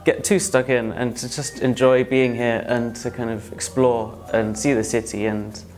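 A young man from England with an English accent, a music student answering in a relaxed, easygoing way. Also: mid-range voice, moderate pace. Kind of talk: casual interview answers.

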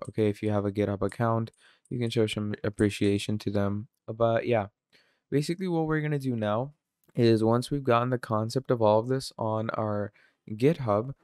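A man giving a casual screen-recorded software tutorial, filling his talk with "obviously" and "you're gonna". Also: on the low side, clear, resonant tone, dry recording, clean studio sound. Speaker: tutorial presenter.

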